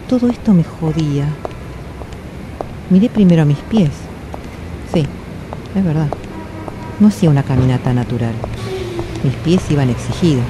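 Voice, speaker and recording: Actress as low-voiced anguished Buenos Aires woman, noisy recording. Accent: Buenos Aires Argentine. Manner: hesitant, repeating phrases, asking herself questions. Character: anguished, self-questioning woman, always rushing, confessing. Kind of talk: radio drama monologue, introspective first-person storytelling.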